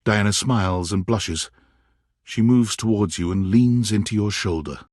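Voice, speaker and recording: A man giving scripted, theatrical narration for a game, telling the story in an expressive, dramatic way. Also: fairly low pitch, measured pace, dry recording, clean studio sound.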